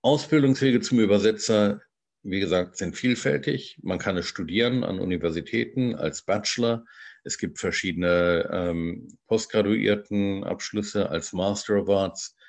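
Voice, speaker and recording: A bilingual man, a translator, giving an online lecture over slides in a measured, explanatory way, with frequent hesitations. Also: on the low side, gravelly. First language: bilingual German and English.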